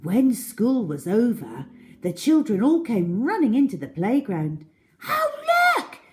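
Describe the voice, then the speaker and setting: Woman, children's storyteller, slow deliberate pace, lively swooping pitch, dramatic reading.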